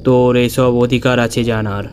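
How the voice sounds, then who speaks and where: A man voicing a calm old wandering holy man in a Bengali ghost story, with a solemn, weighty, deliberate delivery. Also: mid-range voice, a little hiss or hum, natural voice. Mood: solemn, knowing.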